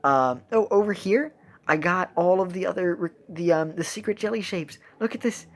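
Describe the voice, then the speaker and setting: A woman's voice: lowish voice, moderate pace. A woman chatting casually as she streams a game, in a playful, giggly, rambling manner. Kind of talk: casual livestream chat over gameplay.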